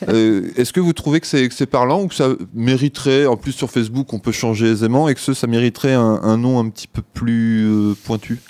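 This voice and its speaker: Hesitant, mellow-voiced man hosting radio. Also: mid-range voice, no room sound, clean studio sound. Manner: hesitant, many filler pauses. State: tired. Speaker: radio show host. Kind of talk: casual on-air chat. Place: radio studio. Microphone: studio microphone.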